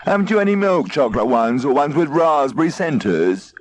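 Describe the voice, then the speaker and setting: A man's voice: a little high, expressive, moderate pace, pitched above the performer's own voice, lightly acted. A man voicing a fussy, unimpressed cartoon cat with a groaning, disdainful manner, in a storybook reading. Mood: disappointed. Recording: faint noisy old tape transfer.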